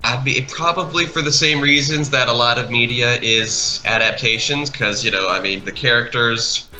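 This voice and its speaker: A man chatting casually as a guest, halting but enthusiastic as he talks about a creative project. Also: mid-range voice, pushed and bright, faint music behind, low-quality recording. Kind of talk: casual chat, commentary over an art video.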